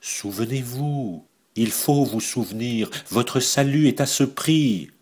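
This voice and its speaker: Man voicing a desperate young gentleman thief. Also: medium pitch, slow, voice pushed up, lightly acted. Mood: pleading. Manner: pleading, then shouting in rage.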